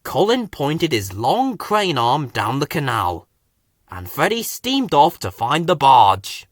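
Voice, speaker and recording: Unhurried, expressive man narrating a storybook. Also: a little high, dry recording, clean studio sound. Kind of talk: scripted children's story narration.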